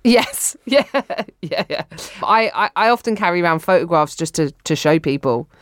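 Low-voiced, rambling bawdy comedian. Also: female voice, lively intonation, moderate pace, no echo, clean studio sound. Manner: rambling, stammering restarts, bawdy. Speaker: guest co-host. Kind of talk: improvised comedy banter. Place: studio in New York.

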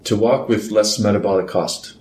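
A man from Iceland, a guest engineer explaining his work in a measured, unhurried way. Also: lowish voice, fairly even intonation, a little mellow, reverberant. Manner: measured, explanatory, with small laughs. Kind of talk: casual interview.

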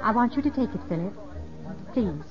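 Gracious, affectionate gentlewoman widow played by a woman, medium pitch, genteel; muffled vintage radio drama with music behind. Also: expressive, normal speed, natural voice. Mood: apologetic, gentle. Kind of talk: 1950s radio drama acting.

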